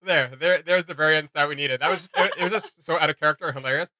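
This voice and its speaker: A man's voice: a little high, fast, bright, forceful tone, dry recording, clean studio sound. A man hosting a reaction video, giving casual, enthusiastic and rambling commentary.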